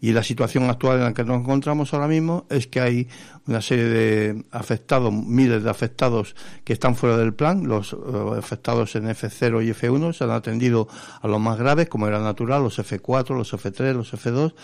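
Gravelly Spanish man, earnest and halting. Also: mid-range voice, a little mellow, no room sound. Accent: Spain. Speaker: interview guest, patients' association vice president and activist. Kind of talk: explanatory account in a radio interview. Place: radio studio.

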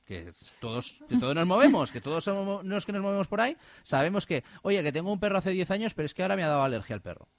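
A man telling an animated, indignant personal story in casual radio chat, with many restarts. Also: sits high, racing, hardly any pauses, no echo. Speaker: radio host.

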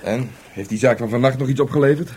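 A man's voice: mid-range voice, fast, a little mellow, slightly rough, close to an everyday voice. A male actor playing an easygoing, teasing police detective with a casual, dry manner in a radio play.

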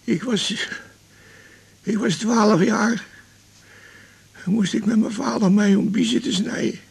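Radio drama: stubborn, life-weary man of eighty, heavily gravelly, raspy, halting and repetitive. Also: sits high, fairly even intonation, heavily acted. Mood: wistful, reminiscing. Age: 80s. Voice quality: gravelly, husky. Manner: halting, stammering, repeating words. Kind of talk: naturalistic radio drama acting.

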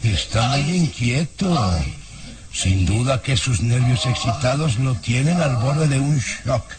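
A man's voice: mid-range voice, slow, over a noisy crowd, close to an everyday voice. A man acting a haughty middle-aged baron in an old Mexican radio serial, with a pompous, insistent, formal manner. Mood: feigned concern.

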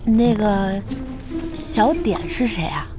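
An actress playing a bossy, warm-hearted, chatty young woman who runs an internet cafe in a radio drama, brisk and expressive. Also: medium pitch, music in the background, close to an everyday voice. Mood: brisk, friendly.

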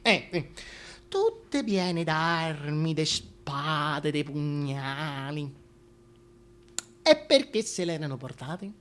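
A man's voice: on the high side, sing-song, drawn-out words, a bit bright, full character voice. An actor with a Roman accent playing a gossipy, indignant working-class supporter of the king in a comic stage monologue, colloquial and exclamatory. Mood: confiding, knowing.

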